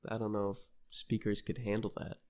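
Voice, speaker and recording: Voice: medium pitch, level tone, a little fast, darkish tone. A podcast co-host in casual chat, reasoning things through in a matter-of-fact, skeptical way.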